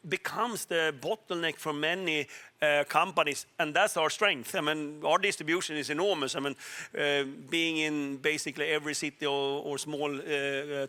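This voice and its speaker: A middle-aged man from Sweden with a Swedish accent, speaking as a guest on a business panel, halting with many fillers and restarts. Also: fairly high pitch, sharp tone. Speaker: panel guest, telecom executive. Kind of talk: panel discussion.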